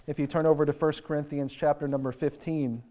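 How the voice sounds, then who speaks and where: A man preaching a sermon, sounding congested and nasal from a sinus infection, slowing to stress key phrases. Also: fairly high pitch, steady tone, dark tone.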